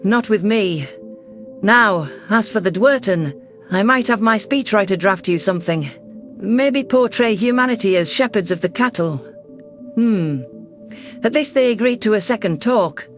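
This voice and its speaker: Sci-fi story narrator: man playing a composed, caring alien woman in charge, high and gentle voice, light music bed. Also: animated, a little slow. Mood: reassuring, firm. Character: caring, composed female alien leader with paws. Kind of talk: first-person sci-fi story narration and dialogue.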